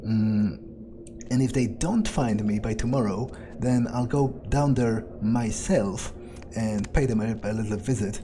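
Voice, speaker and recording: A man roleplaying a weary, wary, sardonic vampire in a video game, thinking aloud in a halting, sweary mutter. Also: lowish voice, background noise, lightly acted. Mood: tired, wary. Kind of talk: in-character improvised gameplay monologue.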